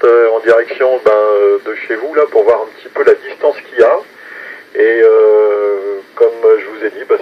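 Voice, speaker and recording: A man with a French accent heard over two-way radio, casually and haltingly reporting details as an amateur operator. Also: mid-range voice, highs cut off. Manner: halting, spelling out details phonetically. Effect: two-way radio transmission. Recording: noisy, band-limited radio transmission.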